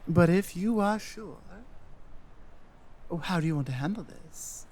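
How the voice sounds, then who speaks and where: Casting: male actor for earnest, self-doubting Nordic companion in fantasy audio drama; higher-pitched male voice, unhurried, halting delivery. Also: lively intonation, darkish tone. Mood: cautious, deferential. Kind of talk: roleplay audio drama monologue.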